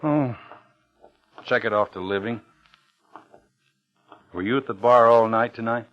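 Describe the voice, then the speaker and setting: An actor playing a guarded, smooth-talking, hard-boiled man in an old noir radio drama. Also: medium pitch, unhurried, lightly acted. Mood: guarded, coaxing. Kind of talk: 1950s radio drama acting with noir narration. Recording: dull old radio recording, music underneath.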